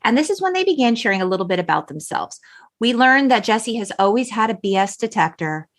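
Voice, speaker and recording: A woman hosting a podcast in a conversational but articulate style, expressive and engaged, moving between recap and chat. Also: a little high, fairly quick. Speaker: podcast host. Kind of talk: conversational hosting, part scripted film recap.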